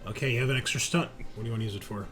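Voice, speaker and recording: A man's voice: mid-range voice, rapid-fire, a bit bright. A man running a tabletop roleplaying game as game master, talking informally and explaining rules. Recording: faint music behind.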